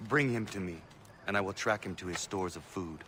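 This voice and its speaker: An actor playing a stern, single-minded warrior in a game, speaking tersely and formally. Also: male voice, deep voice, a little fast, noisy background, close to an everyday voice. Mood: cold, determined. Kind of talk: scripted game drama dialogue.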